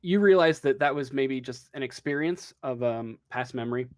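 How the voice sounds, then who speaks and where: A man working as game master, improvising a tabletop role-playing story in a halting, drawn-out way. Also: mid-range voice.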